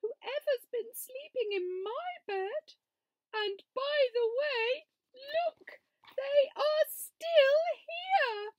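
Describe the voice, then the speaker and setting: A woman voicing a small, sensitive male bear cub who sounds squeaky, tearful and wailing. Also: drawn-out words, no room sound, highs cut off, exaggerated voice.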